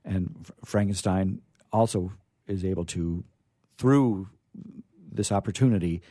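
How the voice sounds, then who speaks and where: Male host, lowish mellow voice, thoughtful and halting, chatting about literature in a dry recording. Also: dry recording. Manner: hesitant, thoughtful, emphatic repetition. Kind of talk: informal literary discussion.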